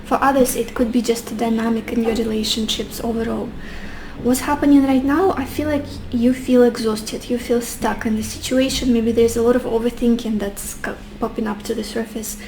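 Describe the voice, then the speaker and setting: Flat-toned tarot reader woman, losing her voice. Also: on the high side, normal speed, slight background noise, poor audio. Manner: gentle, addresses viewers warmly as beautiful souls; muses aloud with soft hesitations. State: losing her voice, a little sick. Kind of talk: tarot card reading to the viewer.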